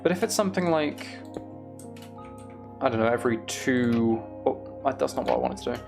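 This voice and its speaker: Gravelly-voiced man chatting on a livestream, music underneath. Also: mid-range voice, lively intonation, normal speed. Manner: musing aloud, reads and answers viewer comments. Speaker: live streamer. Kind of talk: casual gaming livestream chat.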